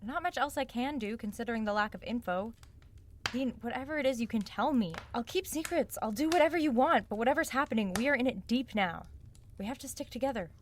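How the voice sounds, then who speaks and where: A woman's voice: a little high, quick pace, slight background noise, close to an everyday voice. An actress playing a brash, practical young woman in an audio drama, with a sarcastic, incredulous manner. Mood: wry, coaxing. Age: young adult. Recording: dramatic music under the dialogue.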